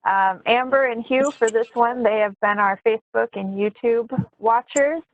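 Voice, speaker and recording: A woman's voice: a little high, normal speed, no echo, phone-quality sound. A woman moderating a panel discussion, introducing speakers and thanking them. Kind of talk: event hosting, thanking panelists.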